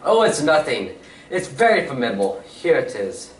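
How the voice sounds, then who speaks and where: Man acting a lively, high-pitched gentleman detective. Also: moderate pace. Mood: brisk instructing. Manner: precise, formal, theatrical. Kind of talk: old-time radio drama acting on stage. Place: community playhouse stage. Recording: roomy, distant stage recording, faint noise.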